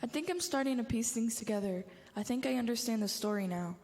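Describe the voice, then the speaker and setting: A woman's voice: a little high, quick pace, darkish tone, natural voice. A female performer playing a curious, no-nonsense girl with a questioning, mildly bossy manner in a church reader's theater. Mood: curious, firm. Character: curious, no-nonsense girl trying to follow the story. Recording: reverberant hall.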